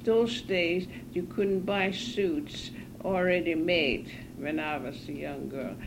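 Slow, halting elderly woman. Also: on the low side, creaky. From northern Norway. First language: Norwegian. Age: 90s. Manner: halting, hesitant, self-correcting. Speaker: interviewee. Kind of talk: oral history interview. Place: apartment. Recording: hissy 1980s cassette tape.

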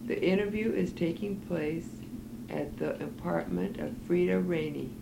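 A woman's voice: on the low side, measured pace, creaky. A woman conducting an oral history interview in an apartment, patiently prompting and repeating her questions. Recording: hissy 1980s cassette tape.

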